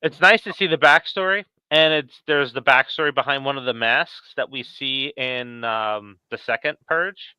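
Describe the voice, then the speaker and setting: A man's voice: mid-range voice, moderate pace, hardly any pauses, bright, forceful tone, smooth, no room sound, low-quality recording. A man hosting a podcast, chatting casually about horror films. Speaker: podcast host.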